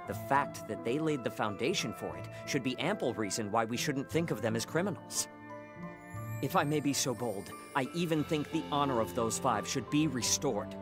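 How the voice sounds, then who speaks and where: A man voices an earnest young male scholar in a game cutscene. He is formal, idealistic and presses his point in a mid-range voice, with music clearly playing behind him. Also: mid-range voice, expressive, moderate pace, lightly acted. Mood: earnest, questioning. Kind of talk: dubbed video game cutscene acting.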